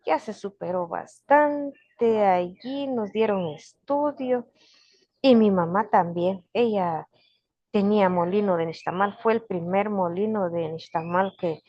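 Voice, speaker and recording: An older woman, unhurried and full of restarts, telling family stories as a guest on a video call. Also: mid-range voice, no echo. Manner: warm, unhurried storytelling with frequent restarts. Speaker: guest speaker, forest guardian. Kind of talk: casual storytelling interview. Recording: dull lo-fi video call audio.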